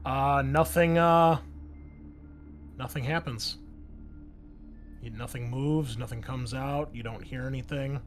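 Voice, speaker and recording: A man's voice: mid-range voice, takes it slowly, long pauses, faint music behind. A man with an American accent working as a game master, describing scenes in a conversational way and chatting casually with players. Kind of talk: improvised tabletop game narration with casual table talk.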